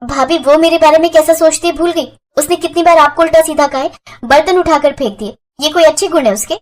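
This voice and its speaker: A woman's voice: high-pitched, fairly quick, voice pushed up, lightly acted. A woman voicing a mild but reproachful young sister in a family moral story. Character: mild young twin sister, gently scolding, slightly resentful. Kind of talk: Hindi moral story voice acting.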